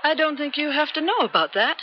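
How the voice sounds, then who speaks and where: A woman's voice: sits high, animated, brisk. An actress playing a guarded, insistent woman on a secret mission in a 1970s radio drama, earnest and defensive. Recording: muffled, noisy 1970s radio recording.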